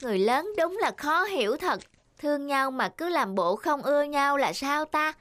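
High-voiced plucky little girl. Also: lively intonation, normal speed, a bit bright. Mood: inquisitive, insistent. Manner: polite but insistent, eager. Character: plucky, inquisitive, mischievous little granddaughter. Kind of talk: animated film dialogue. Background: music.

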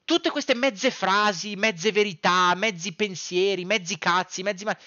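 An exasperated young man rants in a high, wildly swinging voice. He stresses and repeats his phrases and swears freely. The sound is close and dry, as from a home setup. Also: pushed and bright, dry recording, dull audio. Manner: exasperated, emphatic, foul-mouthed, repeating phrases. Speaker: sports content creator. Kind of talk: heated ranting commentary to camera. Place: small dim room at home.

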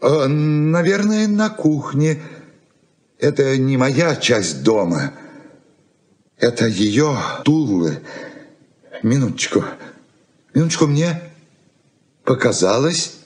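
A lonely old man in a Soviet radio play. He speaks slowly and haltingly in a middle register, fussing and repeating his words. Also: medium pitch, animated, darkish tone. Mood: grateful, rambling. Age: older. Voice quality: hard of hearing. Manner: slow, halting, repetitive. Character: suspicious, lonely, fussy old uncle.

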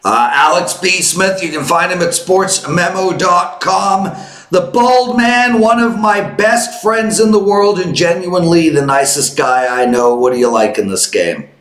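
Man in his fifties, show host, fairly high voice, echoey home studio, playful and teasing. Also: unhurried. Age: 50s. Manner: joking, teasing, animated. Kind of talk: casual sports betting chat. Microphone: headphones and boom mic.

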